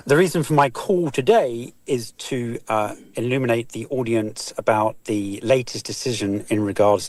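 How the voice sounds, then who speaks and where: Formal man calling in, measured tone. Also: fairly low pitch, darkish tone, no echo. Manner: formal, measured, with many ums. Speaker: regular caller. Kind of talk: formal spoken report.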